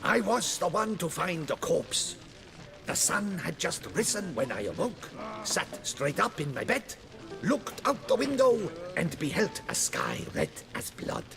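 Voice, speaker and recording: Creaky, rambling rustic fisherman. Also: high voice, wildly swooping pitch, unhurried, over music, full character voice. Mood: dramatic, spooked. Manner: rambling, dramatic storytelling. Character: long-winded, superstitious, grumbling rustic fisherman. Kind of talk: fantasy video game dialogue.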